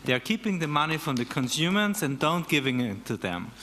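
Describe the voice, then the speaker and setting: A man's voice: a little high, big pitch swings, a little fast, sharp tone, slight background noise. Austrian man in a reverberant hall. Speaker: audience member. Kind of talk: audience comment.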